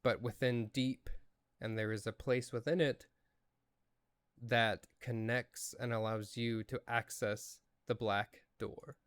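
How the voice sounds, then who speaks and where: A man serves as game master, narrating in a measured, deliberate way. His voice is smooth and middle-pitched, and he takes his time. The sound is dry and close. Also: fairly even intonation, a bit bright, no room sound. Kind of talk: narrating a tabletop role-playing game.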